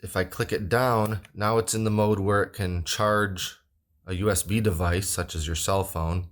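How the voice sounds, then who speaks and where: Deep voiced guy doing a casual gadget review, kinda offhand and hesitant, plain talk. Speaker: product reviewer. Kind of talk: casual hands-on product review. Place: indoors at a wooden table.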